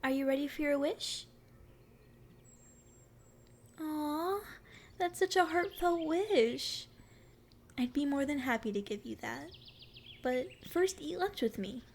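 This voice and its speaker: Casting: female performer as a sweet, playful forest fairy for ASMR roleplay, very high voice with a creaky edge, teasing and curious. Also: unhurried, slight background noise. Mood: warm, hospitable. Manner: sweet, flirty, teasing endearments. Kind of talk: ASMR roleplay, addressing the listener.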